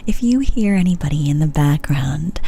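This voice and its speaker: A woman's voice: deep voice, animated, normal speed, vocal fry, mellow, slightly rough. A woman whispering a playful, pun-filled roleplay as a customer service agent.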